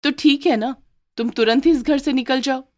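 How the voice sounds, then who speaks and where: A woman voicing an overworked young daughter-in-law in a cartoon story, high and protesting. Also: steady tone, a little mellow, close to an everyday voice. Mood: defiant. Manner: high, protesting, quick retorts. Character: overworked young daughter-in-law treated as a maid, put-upon.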